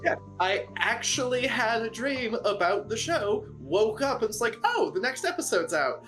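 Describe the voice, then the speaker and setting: A woman's voice: on the high side, sing-song, moderate pace, fairly bright tone, poor audio. A woman chatting casually in a behind-the-scenes Q&A, wry, understated and self-deprecating. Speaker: story editor and cast member. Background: instrumental music, clearly heard.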